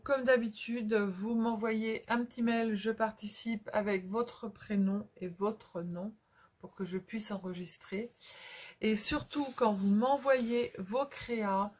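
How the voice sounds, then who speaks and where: A woman's voice: medium pitch, darkish tone. A middle-aged woman, chatting casually and hesitantly as she hosts a craft vlog, rambling and repeating herself. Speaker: craft video host. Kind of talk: casual unscripted vlog chat.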